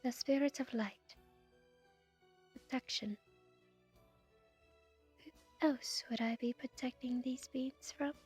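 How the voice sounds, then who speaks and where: A woman voicing a gentle, solemn guardian spirit of light in an ASMR fantasy roleplay, speaking softly in a hushed, measured way. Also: high-pitched, fairly even intonation, faint music behind, exaggerated voice. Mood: grave, wary. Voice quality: soft, breathy, half-whispered. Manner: slow, measured, hushed delivery.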